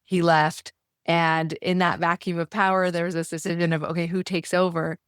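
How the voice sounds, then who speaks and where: A woman's voice: fairly low pitch, little pitch movement, normal speed, clean tone, dry recording, studio quality. A woman in her forties, an interview guest from Iran, telling a personal story in an earnest, conversational way. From Iran, moved to the US as a child. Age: 40s. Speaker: interview guest, law professor.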